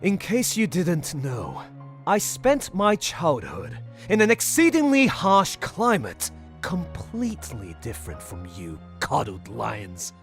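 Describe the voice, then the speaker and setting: A man playing a proud, scornful animal character hardened by a harsh upbringing, in a dramatic anime dub with haughty, deliberate delivery. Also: fairly high pitch, huge pitch swings, faint music behind, full character voice.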